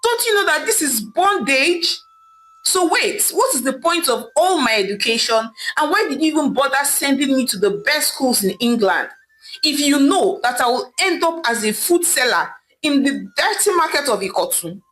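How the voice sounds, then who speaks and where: A woman's voice: high-pitched, lively intonation, normal speed, fairly bright tone, pitched above the performer's own voice, rough recording. A woman storyteller voicing a distraught, indignant young educated daughter, pleading and exclaiming with repeated phrases. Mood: angry.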